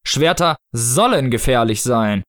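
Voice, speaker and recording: A man reading a storybook as Death posing as a slow, matter-of-fact, literal-minded Father Christmas. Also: mid-range voice, animated, fairly bright tone, no echo, studio quality. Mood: stern, unbothered.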